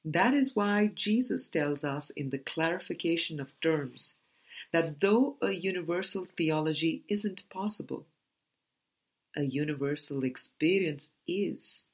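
A woman with a very low-pitched voice reads from a book. Her pace is very slow, and each phrase is set out in a measured, deliberate way, as in an audiobook. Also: husky. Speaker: audiobook reader. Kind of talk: scripted book reading.

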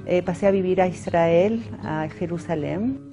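A woman from Mendoza with an Argentine accent, a painter giving reflective interview answers and pausing often on "eh" fillers. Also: on the low side, over loud music. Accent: Argentine Spanish. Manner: thoughtful, pauses often with "eh" fillers.

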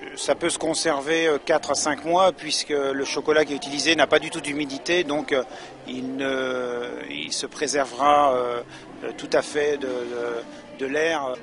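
A man's voice: medium pitch, little pitch movement, moderate pace, music in the background. A man, a chocolatier, answering interview questions hesitantly with many fillers in an exhibition hall. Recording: noisy background.

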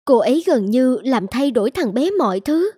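A woman's voice: high-pitched, expressive, moderate pace, warm tone, breathy edge, no room sound, studio quality. A woman playing a firm, polite young mother in a scripted cartoon, reasoning earnestly.

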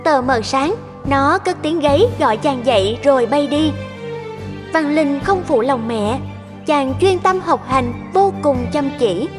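High-pitched woman narrating a fairy tale. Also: lively intonation, measured pace. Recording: music under the voice.

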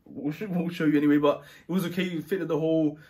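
A man chatting casually about a trip, rambling and relaxed with many fillers and restarts. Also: a little high, fast talker. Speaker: travel vlogger. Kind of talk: casual chat.

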